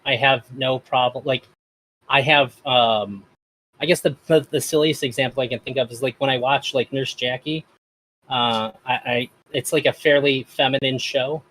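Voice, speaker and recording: A man's voice: mid-range voice, fairly bright tone, a little hiss or hum, low-quality recording. A man hosting a casual discussion, speaking hesitantly with many fillers. Strangers tend to hear his voice as feminine. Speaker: host.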